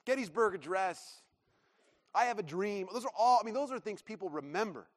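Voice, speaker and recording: Pastor, male, high voice, preaching with enthusiasm and frequent laughter; long hall reverb. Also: animated, a little fast. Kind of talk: sermon.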